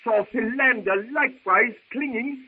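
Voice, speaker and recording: A vain, fussy old professor in vintage radio drama. His voice is high and husky, and he stammers pompously through long sentences. The sound is thin and tinny. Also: a little slow, heavily acted. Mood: fussy, flustered. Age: older. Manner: pompous, stammering, absent-minded. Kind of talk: 1930s radio serial acting. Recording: tinny, band-limited old radio recording.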